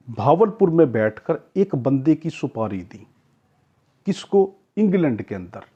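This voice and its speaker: A man's voice: a little high, dark tone, breathy edge. A Pakistani man giving an explanatory law lecture, restating key points for his students. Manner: reads English legal phrases out slowly. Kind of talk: explanatory classroom lecture with examples. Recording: dull, muffled lo-fi lecture audio.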